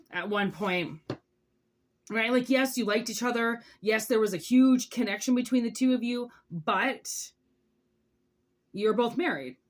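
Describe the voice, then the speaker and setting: Higher-voiced woman giving a chatty tarot reading. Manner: rambling, conversational, with asides and rhetorical check-ins. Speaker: tarot reader hosting zodiac readings.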